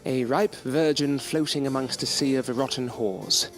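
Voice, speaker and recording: A man playing a wistful, educated gentleman narrating his memories in an audio drama, formal and earnest. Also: mid-range voice, a little slow, soft background music, lightly acted. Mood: wistful, reflective. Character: wistful, erudite gentleman recalling his student days.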